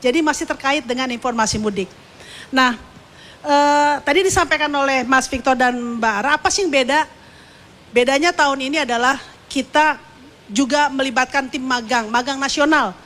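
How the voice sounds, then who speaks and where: A woman giving a semi-formal, unscripted ceremonial speech as a media executive, halting as she searches for words with pauses and repeats. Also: sits high, a bit bright.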